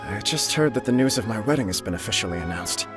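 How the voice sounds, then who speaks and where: A devoted young nobleman, played by a man in a game cutscene, low-pitched and sincere. Gentle and earnest throughout. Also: animated, fast talker, music in the background, close to an everyday voice. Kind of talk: video game cutscene dialogue.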